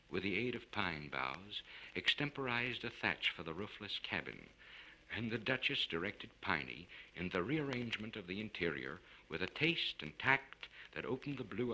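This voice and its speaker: A man giving a theatrical, measured and formal narration of a literary short story. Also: deep voice, sing-song, bright, forceful tone. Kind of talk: scripted dramatic narration. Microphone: studio recording for LP. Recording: noisy vintage spoken-word record.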